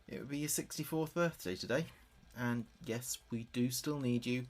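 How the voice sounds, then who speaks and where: A man's voice: mid-range voice, normal speed, close to an everyday voice. An actor playing a grieving adult son in an audio drama, speaking hesitantly and confidingly as if taping messages for his dead father. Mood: fond, bittersweet. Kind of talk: audio drama monologue as a taped diary.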